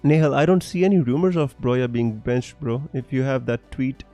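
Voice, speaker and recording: A man's voice: mid-range voice, a little fast, mellow, soft background music. A young man from Punjab with a mixed, hard-to-place accent, chatting casually on a live stream, reading comments with many fillers and restarts. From Punjab, India. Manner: chatty, frequent fillers and restarts, laughs often. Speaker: fantasy football streamer.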